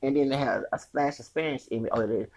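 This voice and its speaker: A man's voice: medium pitch, fast talker, a little mellow. A man hosting a solo podcast in a rambling, profane rant, with a feminine-sounding voice and frequent laughing, sing-song asides. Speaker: podcast host.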